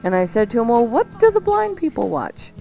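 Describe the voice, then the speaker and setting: A woman's voice: fairly high pitch, big pitch swings, brisk, creaky, mellow, soft background music. A woman co-hosting a live radio call-in show, chatty with listeners. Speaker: radio co-host.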